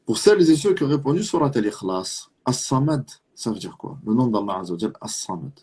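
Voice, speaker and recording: A man's voice: medium pitch, sing-song, fairly quick, warm tone, slightly rough. A man from Marseille with a southern French accent giving an earnest religious talk, urging the listener with rhetorical questions and repetition. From France (Marseille). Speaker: Islamic preacher.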